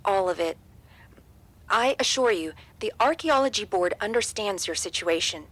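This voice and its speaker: An actress playing a polite but unhelpful university administrator in an audio drama, formal and officious. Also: female voice, medium pitch, expressive, moderate pace, a bit bright. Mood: officious, placating.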